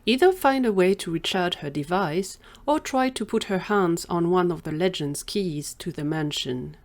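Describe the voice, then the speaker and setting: A woman's voice: lowish voice, expressive, moderate pace. A woman reading scripted story narration for an audio drama.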